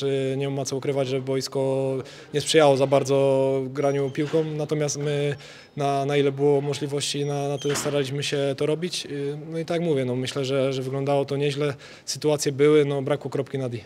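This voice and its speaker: A young man, a football player, answering post-match interview questions hesitantly, repeating words and filling pauses. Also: medium pitch, completely flat delivery, a little mellow, a little hiss or hum. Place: stadium interview area before sponsor wall. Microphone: handheld reporter mic.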